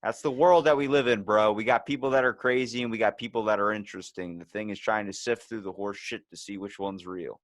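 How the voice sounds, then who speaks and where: A man's voice: on the low side, fairly quick, hardly any pauses, no echo. A man in his twenties with an American accent, chatting casually and profanely as a podcast host, telling stories in slangy language. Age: 20s. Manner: slangy, profane, animated storytelling in quoted bits.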